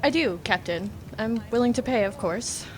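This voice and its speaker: A woman's voice: a little high, lively intonation, normal speed, a little hiss or hum, natural voice. A woman playing a suspicious, blunt young female client of a space crew in a comedic audio drama. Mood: matter-of-fact. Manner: blunt, matter-of-fact.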